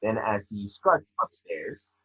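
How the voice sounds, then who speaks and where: Guy reading out stage directions, lowish husky voice, sharp edge, kinda lo-fi. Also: sing-song, takes it slowly. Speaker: narrator reading scene descriptions. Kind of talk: scripted narration of screenplay action.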